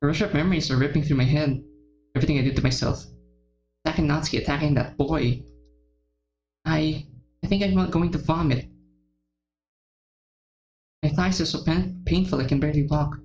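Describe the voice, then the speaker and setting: A woman's voice: sits low, steady tone, moderate pace, long pauses, a little mellow, lo-fi sound. A woman voicing an obsessive, unstable lovesick teenage girl from a horror game in a dramatic reading, swinging between sweet and menacing. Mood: horrified, anguished. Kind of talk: dramatic fan-fiction reading. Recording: roomy echo with faint music behind.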